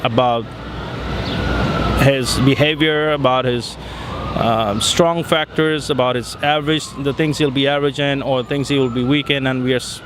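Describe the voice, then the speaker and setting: A man's voice: mid-range voice, fairly even intonation, moderate pace. Indian man talking over street traffic. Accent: Indian, Punjab. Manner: hesitant, many fillers. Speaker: interview guest. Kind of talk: casual interview. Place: outdoors in a city square. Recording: street traffic noise behind. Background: city traffic.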